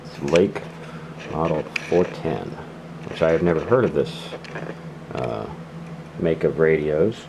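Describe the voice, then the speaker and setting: Really deep voiced guy doing a casual gear demo, kinda halting and unhurried, clear noise behind him. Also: expressive. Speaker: host of a radio gear channel. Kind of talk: casual product demonstration. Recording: clearly noisy background.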